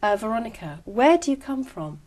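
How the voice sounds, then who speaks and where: A woman examiner giving clear, measured, polite instructions in a speaking exam. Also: fairly high pitch, big pitch swings, darkish tone. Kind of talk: scripted exam prompts.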